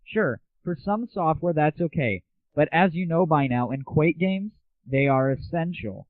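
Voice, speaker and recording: A young man with a slightly high voice hosts a casual gaming radio show. He is slangy and irreverent, over very narrow, tinny, dry early internet audio. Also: expressive, unhurried, no echo. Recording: tinny, phone-like 1990s internet audio, roomless.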